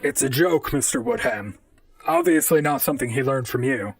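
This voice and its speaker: A robot gumshoe in a radio-style drama, dry-witted and chatty. The pitch swoops widely over a gravelly, creaky edge. The voice sits slightly back from the mic in a dry space. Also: huge pitch swings, moderate pace, no echo, recorded from a distance, exaggerated voice. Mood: polite, brisk. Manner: dry, conversational first-person noir narration. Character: wry robot private detective, talkative and unflappable. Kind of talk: audio drama acting and narration.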